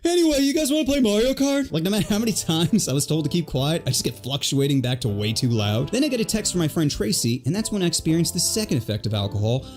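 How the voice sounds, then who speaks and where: A man racing through a raunchy comedic story, his pitch leaping up and down as he acts out each character. His voice sits a little high, and faint music plays underneath. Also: very animated. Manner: crude punchlines, acts out every character in quick voices.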